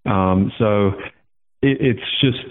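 A man's voice: fairly low pitch, slow. Australian man on a tinny phone line. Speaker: guest. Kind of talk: casual interview over the phone.

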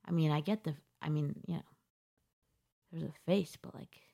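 A woman's voice: low voice, moderate pace, vocal fry, warm tone. A young woman co-hosting a podcast, chatting casually in a rambling, self-deprecating way. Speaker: podcast co-host. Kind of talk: casual chat.

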